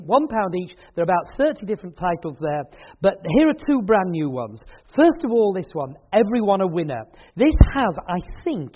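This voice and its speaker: A man with a notably high voice hosts an evening event in a hall, chatting and joking with his listeners. The recording has a dull, lo-fi quality. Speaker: event host and speaker. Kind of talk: casual chat at a church event. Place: hall with an audience.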